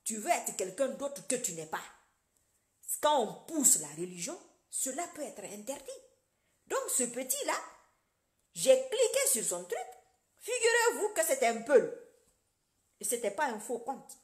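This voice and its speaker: A woman giving a casual political monologue to her online followers. She speaks straight to the viewers in a preachy, animated way, swinging between loud emphatic bursts and quiet muttered asides. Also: high voice, measured pace, pauses often, echoey room. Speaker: social media commentator.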